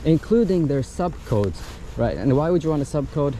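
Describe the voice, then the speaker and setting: A man's voice: a little high, big pitch swings, normal speed. Man demonstrating a gadget outdoors, noisy background. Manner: explanatory, step by step. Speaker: gadget reviewer. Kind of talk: casual hands-on product demonstration. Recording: loud wind and outdoor ambient noise.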